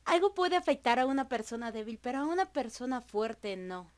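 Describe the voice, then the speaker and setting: A woman performs a young female animator with a high voice. She tells her anguished story in a heartfelt way, as scripted narration for an animated confession. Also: brisk. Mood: subdued, resolute. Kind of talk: scripted first-person narration, fan dub of an animated confessional video.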